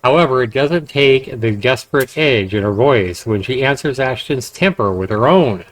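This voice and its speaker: A man's voice: medium pitch, expressive, moderate pace, dry recording, low-quality recording. A man hosting a game livestream, reading narration aloud and dropping in casual comments. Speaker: livestream host.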